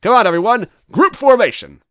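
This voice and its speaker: A man's voice: extremely high pitch, animated, fairly quick, fairly bright tone, no room sound, clean studio sound, voice pushed up. A man voicing a villainous, frantic robot with a screechy, theatrical delivery for a story reading. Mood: smug, commanding. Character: villainous spear-shaped robot, male, shrieking, panicked and menacing.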